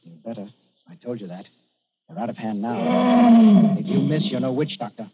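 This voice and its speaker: An actor playing a wily, commanding English adventurer in 1940s radio style, speaking persuasively and deliberately in simple phrases. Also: male voice, mid-range voice, wildly swooping pitch. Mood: low, menacing. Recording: muffled, noisy 1940s radio recording.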